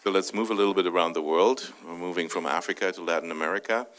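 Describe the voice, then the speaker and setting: A man's voice: low-pitched, fast talker, a loud crowd, heavy background noise. A man moderating a panel discussion, handing over to the next speaker with brief courteous transitions.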